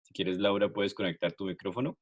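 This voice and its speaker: A man's voice: low voice, steady tone, a little fast. A man moderating an online Q&A, calling on people politely and briefly, with fillers. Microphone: video call.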